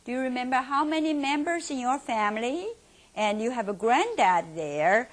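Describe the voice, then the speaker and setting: A middle-aged woman with a Mandarin Chinese accent teaching a beginners' Mandarin lesson in English, repeating words slowly and asking and then answering her own questions. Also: high-pitched, expressive. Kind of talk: slow teaching instruction with drills.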